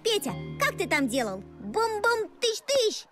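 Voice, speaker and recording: A woman's voice: very high voice, huge pitch swings, a little slow, exaggerated voice. A woman voicing a kind, bubbly young zebra who encourages friends in a children's cartoon. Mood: upbeat. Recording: loud background music.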